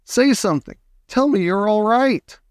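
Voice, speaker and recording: A man reads as a frantic storybook mother, wailing and crying out. The voice is pushed high and sounds distressed, recorded dry and close. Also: lively intonation, measured pace, smooth, no echo. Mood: anxious, crying out. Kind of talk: children's storybook character voice.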